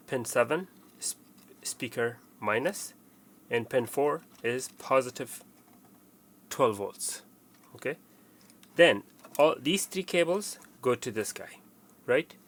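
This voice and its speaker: Gravelly man giving a hands-on tutorial. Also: medium pitch, animated, slow, long pauses, a bit bright, slight background noise. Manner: plain, step-by-step explaining, often repeating instructions. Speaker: technician presenting a how-to tutorial. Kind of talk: casual hands-on installation walkthrough.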